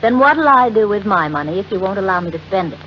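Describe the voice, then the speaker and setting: An actress playing a young, wealthy wife in an earnest, melodramatic 1940s radio drama style. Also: female voice, medium pitch, animated, lightly acted. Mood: affectionate, teasing. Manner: earnest, melodramatic, halting under strain. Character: young wealthy wife, affectionate but anxious and secretive. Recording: muffled, hissy old radio recording.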